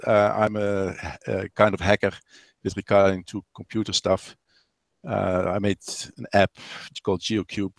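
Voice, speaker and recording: Low-voiced man with a Dutch accent. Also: no room sound. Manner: hesitant, slightly non-native phrasing. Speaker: podcast guest. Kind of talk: casual interview chat.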